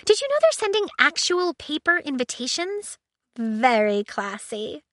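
A woman voicing a female spaceship AI who is indignant, dramatic and quick to squeal. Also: sits high, sing-song, a bit bright, dry recording, clean studio sound, pitched above the performer's own voice, full character voice. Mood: excited. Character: excitable shrill female ship AI.

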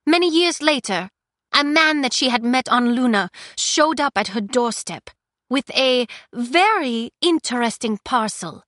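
A woman reading aloud, voicing a hoarse but defiant young woman prisoner. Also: sits high, lively intonation, unhurried, fairly bright tone, dry recording, studio quality, voice pushed up. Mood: defiant, raised.

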